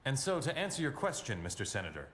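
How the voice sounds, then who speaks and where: A man's voice: mid-range voice, huge pitch swings, fast, slight background noise. A man playing a cynical, pragmatic superhero in a cinematic video game, speaking with a wry, sardonic edge. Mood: measured. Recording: loud music behind dialogue.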